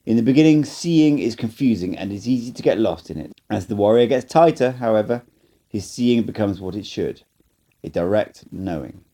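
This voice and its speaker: A man's voice: fairly low pitch, lively intonation, moderate pace, warm tone. A man reading an audiobook, voicing a blunt, scolding old male sorcerer teacher. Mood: instructive. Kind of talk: audiobook character voice.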